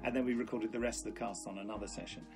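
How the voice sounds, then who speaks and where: A man's voice: on the low side, steady tone, very fast, over music. A man explaining his production work conversationally in an interview, hesitating and correcting himself as he goes. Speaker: audio drama producer.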